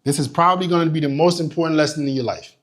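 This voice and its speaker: A man's voice: a little high, racing, fairly bright tone. A young man from Nigeria giving a motivational business talk, emphatic and profane, hammering points home by repeating them. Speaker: business coach.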